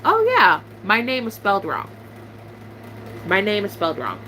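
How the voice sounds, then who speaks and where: A bright, sharp-toned young woman, venting to her viewers. She is blunt, sarcastic and fed up. A faint hum and hiss sit under the recording. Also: mid-range voice, big pitch swings, normal speed. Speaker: YouTuber.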